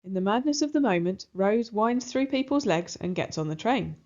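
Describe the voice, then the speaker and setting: A woman narrating a children's storybook aloud in an expressive style. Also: medium pitch, normal speed, lo-fi sound.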